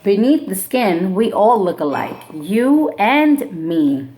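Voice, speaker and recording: A woman teacher reads a children's book aloud to her class. Her delivery is slow and deliberate, and her pitch swings widely from line to line. She keeps the same unhurried pace when she explains the class activity. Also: a little hiss or hum. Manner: slow, expressive storybook read-aloud. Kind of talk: reading a picture book aloud to students; classroom instructions.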